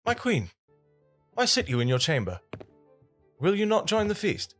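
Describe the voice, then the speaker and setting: An earnest, devoted knight, formal and halting in his speech. His intonation moves widely, and music plays clearly beneath this intimate audio drama scene. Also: high voice, huge pitch swings, heavily acted. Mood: courtly, gentle. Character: Lancelot, earnest, hesitant, devoted male knight. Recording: music under the voice.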